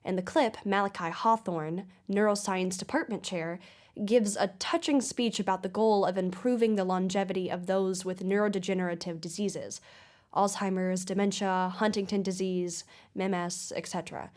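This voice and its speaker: A woman's voice: medium pitch, steady tone, normal speed, a little mellow, close to an everyday voice. A woman playing a probing investigative mystery show host in an audio drama, speaking in a polished, formal, inquisitive manner. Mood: serious reporting. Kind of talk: audio-drama broadcast interview and narration.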